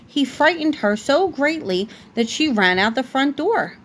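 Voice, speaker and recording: A woman reading a children's bedtime story aloud like a parent, with expressive storytelling. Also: sits high, a little slow, a little hiss or hum.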